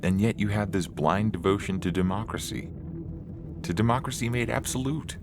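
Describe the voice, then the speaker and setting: A man's voice: lowish voice, moderate pace, background noise, natural voice. A man playing a condemned prisoner who questions and pleads earnestly in a dramatized science fiction story reading. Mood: challenging. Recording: music bed under the voice.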